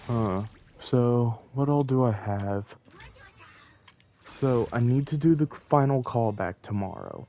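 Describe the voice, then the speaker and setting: A man's voice: medium pitch, slow pace, mellow. A man chatting casually on a game livestream, rambling and thinking aloud, with game music behind him. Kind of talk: casual livestream chat while playing.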